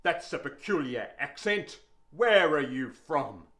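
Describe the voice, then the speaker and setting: A man's voice: a little high, sing-song, a bit bright, heavily acted. A man voicing a stern, bullying, contemptuous male primary school teacher in a told story, with a commanding manner. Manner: slow, commanding classroom instruction.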